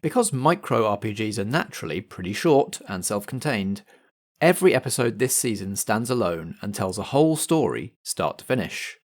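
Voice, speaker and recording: A man's voice: lowish voice, sing-song, normal speed. A man hosting a podcast, giving a scripted introduction to the show. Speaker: podcast host.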